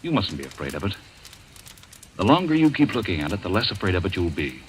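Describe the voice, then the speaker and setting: An actor playing a calm, authoritative doctor in a vintage radio drama, with a firm, paternal, measured manner. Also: male voice, low voice. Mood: reassuring, firm. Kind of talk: old-time radio drama acting. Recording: noisy vintage radio recording.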